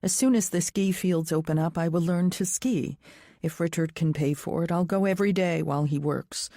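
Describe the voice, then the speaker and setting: A woman's voice: lowish voice, normal speed, mellow, dry recording, studio quality. A woman reading a first-person thriller audiobook as scripted narration in the present tense.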